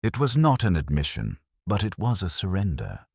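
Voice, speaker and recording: A man reading a scripted first-person detective story in a solemn, measured, literary way. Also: low-pitched, wildly swooping pitch, darkish tone, slightly rough, dry recording, clean studio sound. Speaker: narrator.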